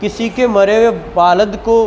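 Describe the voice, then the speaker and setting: A man's voice: high voice, fairly even intonation, moderate pace, a little mellow, a little hiss or hum. A man with a Pakistani accent teaching law, reading statutes aloud phrase by phrase and explaining them conversationally with rhetorical questions.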